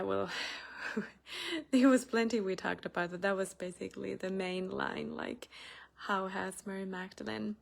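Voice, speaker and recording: A young woman with a Finnish accent, chatting casually in a personal vlog with many fillers, getting animated when she retells a story. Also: mid-range voice, gravelly, breathy edge. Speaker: spiritual channel host.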